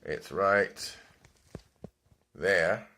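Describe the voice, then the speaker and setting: Low-voiced man giving a casual demo. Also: very slow, pushed and bright, slight background noise. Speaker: radio repair technician demonstrating a converted car radio. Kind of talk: casual unscripted product demonstration.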